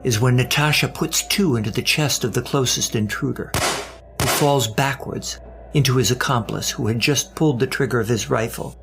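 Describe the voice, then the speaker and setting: A man with a creaky, gravelly voice at a medium pitch narrates a suspense audio drama. His delivery is deliberate with a wry edge, and music plays faintly behind him.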